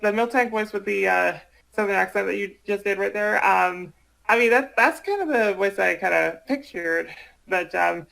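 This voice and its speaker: A man in casual chat who speaks haltingly, hesitating and repeating himself as he goes. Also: high-pitched, creaky, sharp tone, low-quality recording. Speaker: amateur voice project creator.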